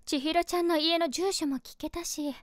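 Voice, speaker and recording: A woman playing a caring young female streamer who is secretly smitten, musing gently in a feminine way in a voice drama. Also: very high-pitched, steady tone, moderate pace, no room sound, studio quality. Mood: relieved, fond. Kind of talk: Japanese voice drama monologue.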